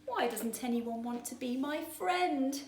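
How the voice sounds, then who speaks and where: A woman's voice: high voice, unhurried, slight background noise, voice pushed up. A woman voicing a nervous, sad young male fish with a worried, hesitant way of talking. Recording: roomy echo.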